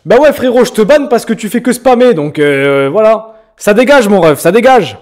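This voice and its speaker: A young man from France chatting casually on a live stream, joking and teasing viewers in slangy talk with sudden loud bursts. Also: sits high, sing-song, moderate pace. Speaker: streamer reacting to viewers' music.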